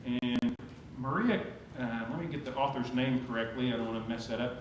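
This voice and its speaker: A pastor preaching a sermon in a conversational, storytelling way. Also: male voice, medium pitch, a little fast, lo-fi sound. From Oklahoma. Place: church sanctuary. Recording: very echoey church sanctuary, faint hum.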